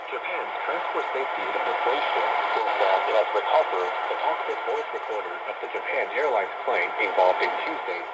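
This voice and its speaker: Male news presenter, lowish pitch, measured scripted delivery, very noisy shortwave broadcast. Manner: formal, measured newsreading. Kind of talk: scripted radio news bulletin. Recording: very noisy shortwave radio reception. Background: loud radio static.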